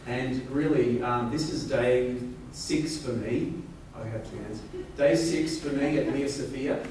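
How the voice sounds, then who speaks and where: A man's voice: medium pitch, measured pace, a little mellow. An Australian man in his forties giving a joking, self-deprecating rally speech with many fillers. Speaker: company executive giving a speech. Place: large event hall on stage. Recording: echoey hall, from far off, crowd noise.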